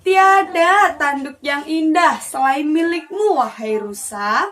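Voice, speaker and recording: A woman voicing an admiring, flattering dove in a fable, gushing in drawn-out words. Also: high-pitched. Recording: distant mic with faint noise.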